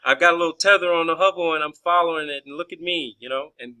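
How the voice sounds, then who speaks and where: A man's voice: fairly high pitch, fast talker, pushed and bright, rough recording. A man arguing in a phone conversation as a caller, pressing his points insistently and often restarting his phrases. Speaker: caller questioning a space agency official.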